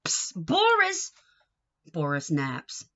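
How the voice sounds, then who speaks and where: A woman reading a picture book aloud to young children in an expressive, sing-song way, coaxing them with questions. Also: lowish voice, very slow.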